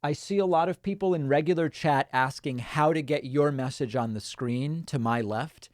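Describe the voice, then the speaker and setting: A man's voice: fairly high pitch, moderate pace, dry recording, studio quality. A man from Argentina, a native Spanish speaker, hosting a political livestream and casually reading viewer comments aloud with frequent ums and uhs.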